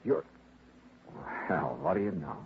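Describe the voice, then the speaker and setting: A dry, wry insurance detective in a 1950s radio mystery. He stays patient, with lively pitch movement in his voice. The broadcast sounds thin and tinny, with faint hiss. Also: unhurried. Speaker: insurance investigator lead. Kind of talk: 1950s radio drama acting.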